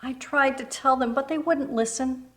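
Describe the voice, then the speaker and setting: A woman's voice: high voice, fairly even intonation, normal speed, voice pushed up, lightly acted. A woman voicing a shy, polite, self-doubting young girl in a children's storybook, hesitant and deferential. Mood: guilty, downcast. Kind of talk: children's storybook read-aloud.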